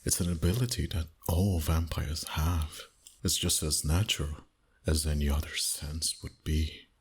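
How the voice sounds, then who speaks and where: A very low, heavily breathy male vampire, acted out by a man for an ASMR roleplay. He speaks slowly and intimately to one listener, often sinking toward a whisper. The manner is dominant, flirtatious and teasing. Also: fairly even intonation, exaggerated voice. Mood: calm, persuasive. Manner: slow, deliberate, flirtatious.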